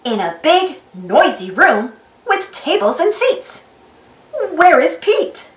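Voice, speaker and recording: A woman narrating a children's storybook aloud in an expressive, sing-song way for young listeners. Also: high voice, takes it slowly. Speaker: storybook reader and channel host. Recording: roomy echo with faint music. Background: faint music.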